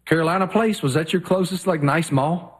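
A man's voice: on the high side, husky. A man with a Southwest Virginia accent, telling rambling folksy stories as co-host in a casual chat. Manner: folksy, drawn-out storytelling.

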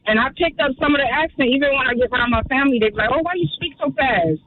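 A woman's voice: high-pitched, lively intonation, phone-quality sound. Woman, radio caller, chatty, laughs a lot, faint noise behind. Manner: chatty, laughs often, quick rambling talk. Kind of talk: casual call-in chat.

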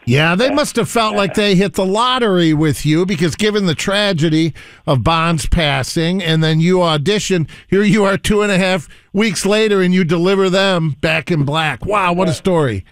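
Male radio host, high, smooth and resonant, dry studio sound, eager. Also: moderate pace, no echo. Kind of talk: radio interview.

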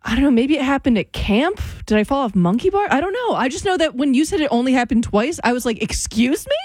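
A woman's voice: sits high, big pitch swings, quick pace, a little mellow, dry recording, studio quality. A woman in her thirties co-hosting a radio show, easygoing and self-deprecating in casual chat. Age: 30s. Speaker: radio co-host. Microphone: studio microphone.

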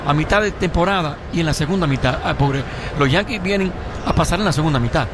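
A man's voice: a little high, fast talker, noisy background. A man born in Cuba giving baseball analysis on radio, talkative and keen to argue his point. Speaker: color commentator. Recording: radio broadcast with music under.

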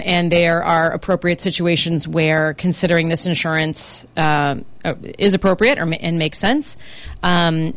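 A middle-aged woman hosting a talk radio show, slightly hoarse and chatty, with many fillers and restarts. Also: fairly low pitch, no echo. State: slightly hoarse, under the weather. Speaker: radio show host, financial planner. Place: radio studio. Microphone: studio microphone.

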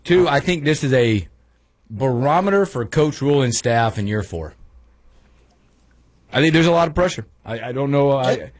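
A man's voice: mid-range voice, expressive, normal speed, pauses often, dry recording. A man co-hosting a morning sports radio show, talking casually and emphatically about the team. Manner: chatty, emphatic, thinks aloud.

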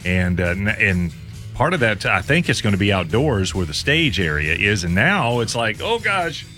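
A low-pitched man co-hosting a morning radio show, talking in an easygoing, chuckling way. His pitch swings around animatedly as he tells a story, with faint music under him in the studio. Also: very animated, normal speed, pushed and bright. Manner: easygoing, chuckling, lots of backchannel. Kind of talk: casual morning radio chat. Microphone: studio microphone.

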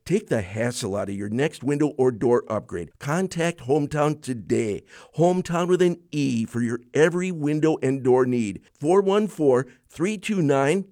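A man's voice: a little high, a little mellow. An older man, a retired radio host, reading a friendly upbeat ad. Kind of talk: ad read.

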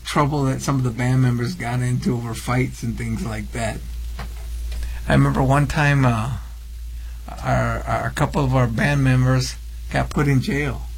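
Gravelly-voiced man, band member. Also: medium pitch, steady tone, moderate pace, poor audio. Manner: short supportive interjections.

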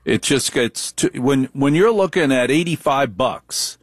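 A man's voice: mid-range voice, lively intonation, measured pace, breathy edge, no room sound, studio quality. An older man hosting a late-night radio talk show, bantering in a wry, joking way.

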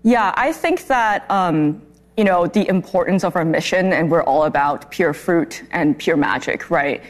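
A woman's voice: lowish voice, unhurried. A young woman from Singapore, a company founder, chatting candidly as a guest in a TV news interview. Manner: chatty and candid, laughs easily. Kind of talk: casual morning TV interview.

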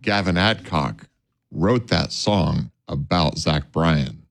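A man co-hosting a radio show, bantering casually with a wry, relaxed manner. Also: extremely low pitch, slow pace, creaky. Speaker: radio host.

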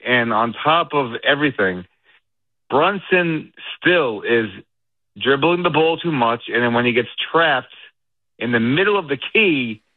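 Guy calling into sports radio, slow and draggy, tinny phone line, kinda griping. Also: medium pitch, no echo. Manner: rambling, deliberate, complaining. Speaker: caller. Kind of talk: call-in sports talk.

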